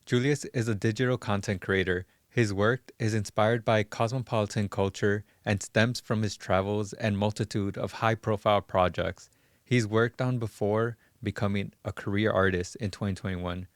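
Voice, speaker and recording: A man's voice: on the low side, fairly even intonation, moderate pace, no echo, clean studio sound. A man hosting an interview podcast, presenting his guest and posing questions. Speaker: podcast host. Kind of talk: scripted introduction and interview questions.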